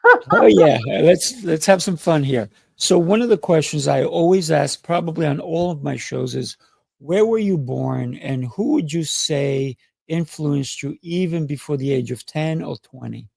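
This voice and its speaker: A man's voice: fairly high pitch, measured pace, vocal fry, darkish tone, slightly rough, dry recording. A man hosting a podcast interview, affirming and encouraging his guest. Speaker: podcast host.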